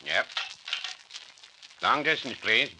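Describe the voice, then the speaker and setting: A man's voice: mid-range voice, lively intonation, takes it slowly. A man playing a dryly bossy, folksy old doctor in an old-time radio drama. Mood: gruff, dry. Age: older. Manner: folksy, clipped, dry, commanding. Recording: old radio recording with hiss.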